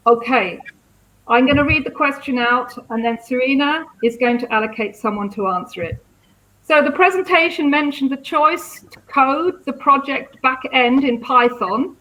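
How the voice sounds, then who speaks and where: A woman's voice: sits high, fairly bright tone, rough recording. A woman moderating a live question-and-answer session, improvising and checking as she goes.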